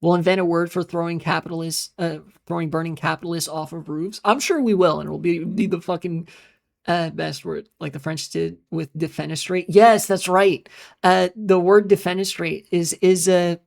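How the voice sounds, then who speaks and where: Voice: mid-range voice, fairly even intonation, moderate pace, vocal fry. An American live streamer in a casual, rambling monologue who swears often, trails off into filler sounds and answers chat messages. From United States.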